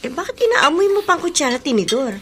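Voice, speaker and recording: Affectionate high-voiced young wife. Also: female voice, fast talker, slight background noise. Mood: homely. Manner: expressive, homely, affectionate. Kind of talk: Filipino radio drama acting.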